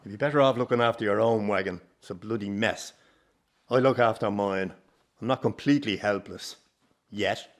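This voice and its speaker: A man's voice: fairly low pitch, normal speed, lightly acted. An actor playing a bitter, stubborn old Irish circus father, grumbling bluntly in a radio drama. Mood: gruff.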